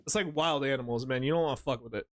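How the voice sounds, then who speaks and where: A man's voice: mid-range voice, rapid-fire. Male streamer, casual and profane, rambles through jokey stories over faint game music. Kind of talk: casual gaming stream chat.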